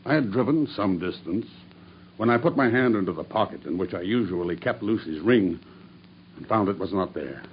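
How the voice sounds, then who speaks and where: An actor playing a grim, vengeful murderer making a deliberate, matter-of-fact confession in a radio drama. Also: male voice, fairly low pitch, lively intonation, natural voice. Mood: grim recounting. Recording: dull radio drama recording with background noise.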